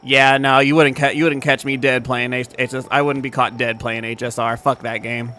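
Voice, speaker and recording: A man's voice: mid-range voice, flat delivery, moderate pace, pushed and bright, soft background music. A young man chatting casually to his stream audience, laid-back and profane, with many fillers and restarts. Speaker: game streamer.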